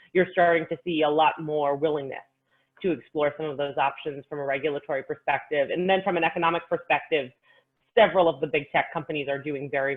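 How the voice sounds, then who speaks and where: Woman explaining on a phone-like call. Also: low-pitched. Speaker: panelist, cybersecurity expert. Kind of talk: explanatory panel remarks. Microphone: video call. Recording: narrow, phone-like call audio.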